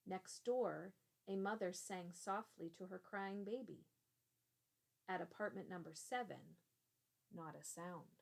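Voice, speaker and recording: A woman teacher reading a children's storybook aloud in a deliberate, expressive way. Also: mid-range voice, creaky.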